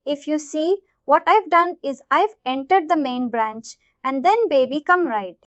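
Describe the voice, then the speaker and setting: A woman with a very high voice gives an informal, step-by-step tutorial as a trainer. She explains as she clicks along and often checks in with the listener. The sound is dry and close, with a dull, low-fidelity tone. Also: measured pace, a bit bright, dry recording. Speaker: software trainer. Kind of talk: informal screen-share tutorial narration. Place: voice-over of a screen recording.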